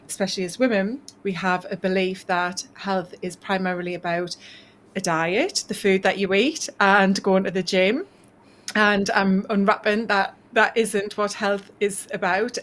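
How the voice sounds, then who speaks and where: A woman's voice: medium pitch, a bit bright, a little hiss or hum. A woman from northeast England with a Northeast English accent, a health coach guest speaking earnestly and thoughtfully on a video call, often saying "you know". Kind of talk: casual video call interview. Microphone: video call mic.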